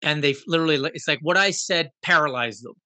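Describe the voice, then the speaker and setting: A man in his fifties from Guyana, telling a personal story in an animated, reflective, self-deprecating way. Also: on the high side, quick pace, no echo. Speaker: guest.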